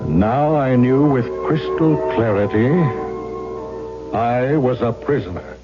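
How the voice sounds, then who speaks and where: An actor playing a suspicious man telling his mystery story in a measured, suspenseful way for 1970s radio drama. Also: mid-range voice, close to an everyday voice. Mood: suspicious, tense. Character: suspicious male narrator trapped in a mysterious house. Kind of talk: first-person 1970s radio mystery narration. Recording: dull 1970s radio recording. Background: loud dramatic music.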